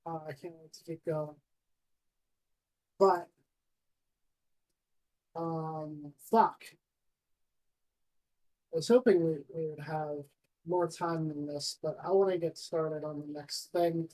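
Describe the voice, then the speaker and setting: A woman's voice: deep voice, steady tone, slow pace, pauses often, mellow, low-quality recording. A woman chatting casually and informally as she streams game cutscenes. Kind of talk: casual chat. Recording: music playing behind the voice.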